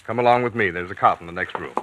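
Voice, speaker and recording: A man playing a brisk, fatherly company doctor who scolds firmly, in a noisy vintage 1940s radio drama. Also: low voice, muffled recording, lightly acted. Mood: paternal, soothing. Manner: firm, scolding, paternal.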